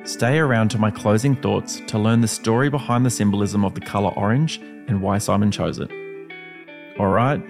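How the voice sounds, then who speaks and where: An Australian man, the podcast host, reading a steady, upbeat episode introduction. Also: lowish voice, normal speed, creaky, music in the background.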